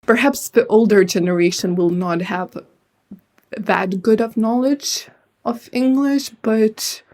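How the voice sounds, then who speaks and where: Young woman guest, mellow tone, hesitant and reflective, remote interview voice. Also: medium pitch, slow pace. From Lithuania. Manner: thoughtful, hesitant with many fillers. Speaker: translator and writer, guest. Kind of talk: casual interview answers.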